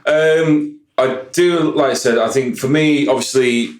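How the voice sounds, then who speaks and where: A man's voice: medium pitch, a little slow, echoey room. A man, a guest musician rambling in casual podcast chat and repeating "like I said". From Yorkshire, England. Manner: rambling, keeps saying "like I said".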